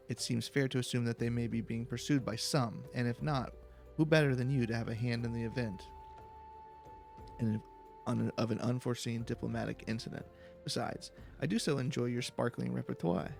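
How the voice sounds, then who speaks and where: Man putting on a pompous young nobleman, slightly creaky. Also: mid-range voice, fairly even intonation, normal speed, a little mellow, faint music behind, natural voice. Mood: smug, assured. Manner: haughty, formal, eloquent. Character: pompous young rich nobleman, smug and silver-tongued. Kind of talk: reading video game dialogue in character.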